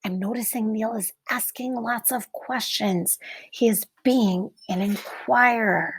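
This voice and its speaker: A woman reading a picture book aloud like a teacher, expressively, with deliberate dramatic pauses and emphasis for young listeners. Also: on the high side, husky. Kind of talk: expressive children's read-aloud narration.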